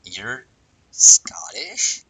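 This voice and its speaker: A man's voice: on the low side, big pitch swings, very slow, sharp tone, slightly rough, low-quality recording. A young man asking puzzled questions in a casual podcast chat as co-host. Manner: quizzical, asks naive questions.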